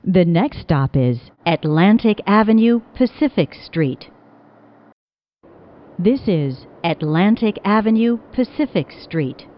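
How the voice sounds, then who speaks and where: A woman reading scripted subway announcements in measured, spliced-together phrases, as a train announcer. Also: medium pitch, animated. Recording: dull lo-fi announcement clips.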